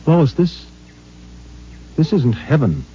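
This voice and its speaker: A man's voice: fairly high pitch, sing-song, takes it slowly. An actor playing a protective, sober young man on the run in a 1950s science fiction radio drama, grave and tender. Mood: bewildered. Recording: dull, humming 1950s radio recording.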